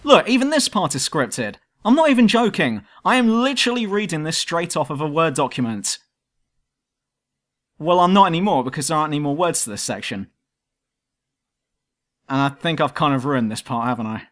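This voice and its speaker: A man's voice: on the high side, expressive, moderate pace, pauses often, fairly bright tone. A man with a southern English accent, reading a scripted, self-mocking commentary for his gaming channel. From southern England. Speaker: YouTube gaming channel host. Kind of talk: scripted commentary over gameplay.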